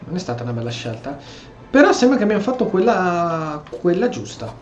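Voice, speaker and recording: A man's voice: sits high, sing-song, quick pace, roomy sound. A man with an Italian accent giving casual gameplay commentary, thinking aloud and often repeating himself. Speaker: gamer commentator. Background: game music.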